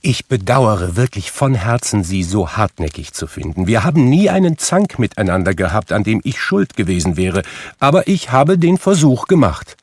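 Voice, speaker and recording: A man voicing a cheerful, warm-hearted young nephew in a storybook reading, talking brightly and eagerly. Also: fairly low pitch, very animated, normal speed, husky, no echo. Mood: earnest.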